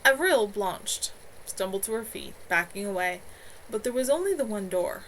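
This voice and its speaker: A woman reading her own novel aloud in an expressive amateur storytelling style. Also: medium pitch, moderate pace. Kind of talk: live amateur audiobook-style narration. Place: at home. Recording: clearly noisy home livestream recording.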